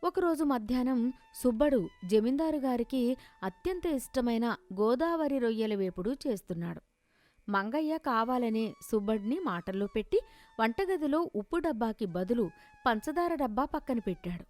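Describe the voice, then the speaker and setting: A woman's voice: a little high, lively intonation, normal speed, darkish tone, no echo. A woman narrating a scripted moral story in a storytelling style.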